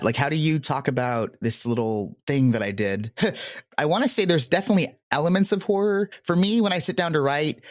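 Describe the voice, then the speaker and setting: A man's voice: medium pitch, no echo. Male interview guest, conversational and rambling, modest about himself, recorded dry. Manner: rambling, self-deprecating. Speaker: podcast creator being interviewed. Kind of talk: casual conversational interview answers.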